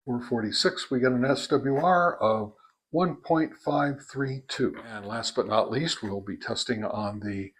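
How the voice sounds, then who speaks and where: An American man hosting a casual hands-on gear review, talking in a halting, plain-spoken, folksy way. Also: mid-range voice, husky, dry recording. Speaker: host of a ham radio gear review channel.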